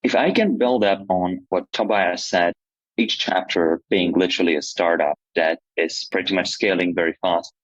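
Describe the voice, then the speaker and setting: A young man from Slovakia answering interview questions in an earnest, explanatory way, pausing now and then to search for words. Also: low-pitched, no echo, lo-fi sound. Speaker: PhD student and nonprofit co-director.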